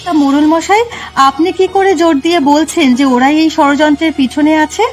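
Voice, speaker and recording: Casting: female actor for affectionate cartoon housewife, quite high register, eager and sweetly coaxing. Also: brisk, faint music behind, close to an everyday voice. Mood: inquiring.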